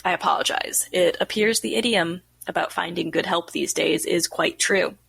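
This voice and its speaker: A woman's voice: fairly low pitch, normal speed, vocal fry, a bit bright, slightly rough, no room sound, exaggerated voice. A woman playing a weary research scientist recording experiment logs in an audio drama, speaking in a dry, formal, precise manner. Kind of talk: scripted audio drama log narration.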